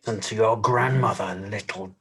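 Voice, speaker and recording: An actor playing a huge, menacing henchman at a dramatic table read, with a husky, rough voice and a curt, ominous manner. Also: on the low side, moderate pace, a bit bright. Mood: threatening.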